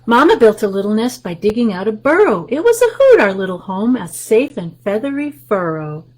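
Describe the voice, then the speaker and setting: Lady reading a picture book to little kids, kinda breathy, gentle, goes whispery sometimes. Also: mid-range voice, sing-song, normal speed, poor audio. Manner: gentle, explains pictures between rhymes, often drops to a soft whisper. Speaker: library story time host.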